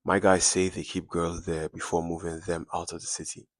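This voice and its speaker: A man voicing a grim, hard-nosed fixer with underworld connections, dark, measured and decisive, in a dramatic story. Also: deep voice, little pitch movement, no room sound, lightly acted. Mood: urgent.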